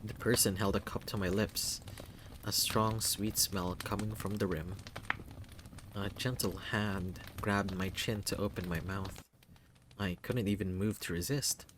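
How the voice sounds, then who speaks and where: A narrator reading a first-person visual novel story in a measured, unhurried way. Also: low-pitched, fairly even intonation, background noise. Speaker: narrator and game reader.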